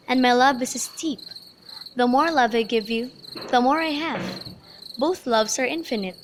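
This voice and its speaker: A woman's voice: sits high, lightly acted. A woman playing an earnest, lovestruck young noblewoman in an amateur student radio drama of modernized Shakespeare. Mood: tender, devoted. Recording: noisy home recording, crickets behind.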